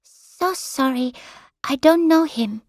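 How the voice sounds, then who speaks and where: A gentle, apologetic young carer, voiced by a woman narrator speaks in broken English. Her voice sits noticeably higher than the narration. The sound is close and dry. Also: lively intonation, slow, dry recording, full character voice. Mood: polite.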